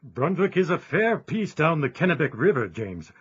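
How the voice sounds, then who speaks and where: An elderly father in a religious audio drama, plain-spoken and steady. His voice is bright and lively in pitch. The sound is dull and lo-fi, with faint music underneath. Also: moderate pace, breathy edge, full character voice. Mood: concerned.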